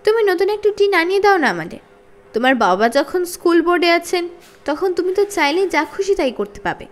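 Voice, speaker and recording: Woman as a sneering schoolmistress, high pitch, swooping intonation, icy, mocking, petty, storybook villain. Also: animated, a little fast. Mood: cold sarcasm.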